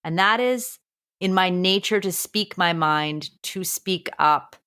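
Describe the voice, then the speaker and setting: A woman's voice: lowish voice, slow pace, bright, forceful tone, clear, resonant tone. A woman who is a teacher and podcast co-host, talking earnestly with a strong voice and thinking aloud with frequent restarts. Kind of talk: reflective casual conversation.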